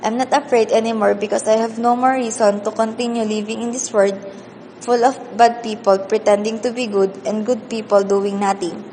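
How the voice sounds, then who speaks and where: High-voiced sad teenage girl narrator acted by a woman. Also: steady tone, moderate pace, warm tone, lightly acted. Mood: sad reflective. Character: sad, weary orphaned teenage girl telling her story. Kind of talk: first-person radio drama narration. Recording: long hall reverb, faint noise.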